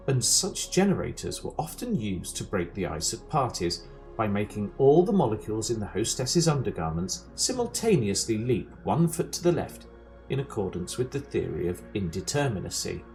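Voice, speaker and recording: A man playing the wry, deliberate, knowing narrator of a space guide in a scripted comic audio drama. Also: on the low side, a little mellow.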